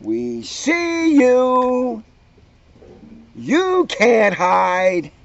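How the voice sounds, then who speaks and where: A man voicing a nasty, gleeful schoolgirl bully from a storybook, with a drawn-out, taunting delivery. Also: very high voice, wildly swooping pitch, dry recording, pitched above the performer's own voice, heavily acted. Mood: jeering. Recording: dull, muffled lo-fi sound.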